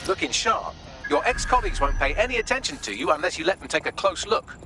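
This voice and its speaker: A man's voice: high voice, sing-song, quick pace, music in the background, heavily acted. A man voicing a wry, wordy artificial intelligence assistant with a British accent, guiding missions in a video game. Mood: droll, instructive. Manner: wry, wordy, sardonic, crisp. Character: droll, theatrical male artificial intelligence assistant.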